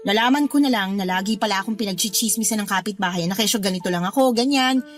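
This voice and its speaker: An actress playing a stubborn, fed-up young adult daughter in a radio drama, with a snippy, complaining manner. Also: female voice, medium pitch, fast talker, faint music behind, lightly acted. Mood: weary, resentful.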